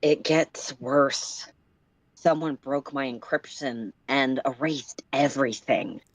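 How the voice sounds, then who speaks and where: A woman playing a gloomy ship's hacker in a story reading, explaining grave news haltingly, with muffled, echoey audio. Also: a bass voice, fairly even intonation, full character voice. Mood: grave, resigned. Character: gloomy ship's hacker reporting over the speaker.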